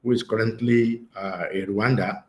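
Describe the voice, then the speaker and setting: Low-voiced Nigerian man, measured and hesitant. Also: fairly even intonation. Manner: measured, with many uh and um fillers. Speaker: guest, writers' association secretary general. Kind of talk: interview answers. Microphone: video call.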